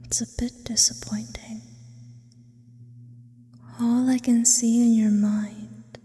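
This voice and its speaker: A woman voicing an ancient, eerie sleep paralysis demon in an intimate, formal ASMR roleplay, mostly in a breathy whisper. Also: medium pitch, dark tone. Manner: slow, deliberate, theatrical and formal in wording. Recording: long reverberant hall effect.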